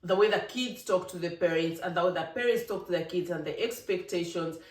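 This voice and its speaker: A vlogger from Africa, giving an emphatic, animated opinion monologue with laughing asides. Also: mid-range voice, brisk. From East Africa. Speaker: vlogger, channel host. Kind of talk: casual opinion monologue to camera. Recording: roomy, distant from the mic.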